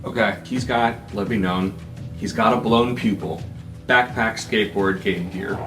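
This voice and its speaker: A man's voice: on the low side, fairly even intonation, measured pace. A man describing a character's moves in a tabletop game, informal and loosely phrased. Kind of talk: casual improvised roleplay narration. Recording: distant mic, echoey, loud music over voice. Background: loud background music.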